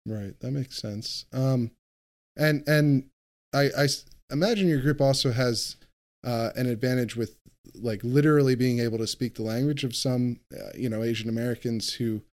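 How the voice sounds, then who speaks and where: A man hosting a podcast, mid-pitched, framing questions with fillers and restarts. He speaks conversationally. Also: moderate pace, darkish tone. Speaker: podcast host. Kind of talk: interviewing guests.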